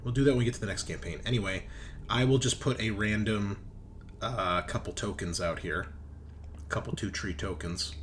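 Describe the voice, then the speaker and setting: A man's voice: lowish voice, moderate pace, a bit bright, slight background noise. A man running a tabletop game as game master, casually narrating and thinking aloud with informal, sweary phrasing. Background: game background music.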